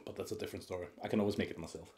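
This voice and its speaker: A deep-voiced Austrian man hosts an ASMR eating video. His English carries a Viennese accent with a trace of Scottish. He chats calmly and casually between mouthfuls. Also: very fast, a little hiss or hum. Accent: Viennese Austrian with a Scottish tinge. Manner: relaxed, chatting between bites. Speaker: mukbang host.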